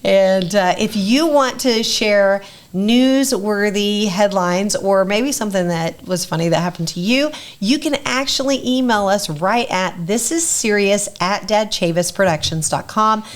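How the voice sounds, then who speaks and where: A woman co-hosting a casual comedy podcast, her voice raspy as she recovers, chatty and quick to laugh. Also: medium pitch. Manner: chatty, laughs easily, promo-style plugs. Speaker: podcast co-host.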